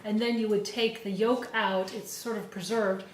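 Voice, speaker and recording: A woman co-hosting a casual chat, lively and explanatory as she describes foods. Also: medium pitch, normal speed, echoey room, recorded from a distance, a little hiss or hum, poor audio. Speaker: co-host.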